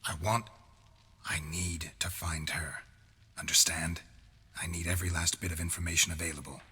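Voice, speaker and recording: An actor playing a grim, terse hunter for hire in a video game, speaking with a restrained, insistent rasp. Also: male voice, little pitch movement, moderate pace, bright, forceful tone, exaggerated voice. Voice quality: very deep, gravelly, breathy rasp. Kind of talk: video game character acting. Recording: reverberant hall.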